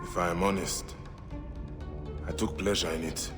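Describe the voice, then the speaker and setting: An actor playing a vengeful Egyptian warrior and devoted husband in a video game drama, grave, intense and intimate. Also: male voice, low voice, fairly even intonation, normal speed, lightly acted. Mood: grim, confessional. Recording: echoey long hall reverb, prominent music. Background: music.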